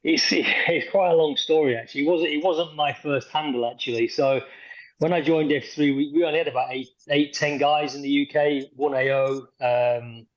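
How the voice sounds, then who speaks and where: A man's voice: fairly high pitch, fairly even intonation, normal speed, dull audio. A man from Yorkshire, a guest on a podcast telling a story over a video call, hesitant with lots of ums and the odd chuckle. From Yorkshire, England. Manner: rambling, many ums, chuckles through story. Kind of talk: casual podcast interview.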